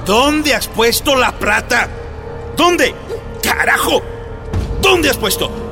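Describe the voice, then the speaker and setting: An actor playing a brutal military interrogator in a radio drama, harsh and barking orders. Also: male voice, very high voice, expressive, slow pace, gravelly, heavily acted. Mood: furious. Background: dramatic music.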